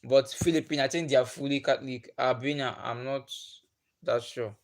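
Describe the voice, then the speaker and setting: A man's voice: medium pitch, fairly even intonation, normal speed. Chatty Nigerian man on a livestream. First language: Igbo. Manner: chatty, laughs often, asks viewers questions. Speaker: livestream host. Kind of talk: casual chat with live viewers. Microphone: phone or webcam on a livestream.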